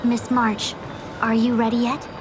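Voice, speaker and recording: A woman's voice: on the high side, completely flat delivery, measured pace, music in the background, close to an everyday voice, poor audio. A woman voicing a composed, authoritative female diviner in a video game, speaking formally and precisely. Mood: businesslike.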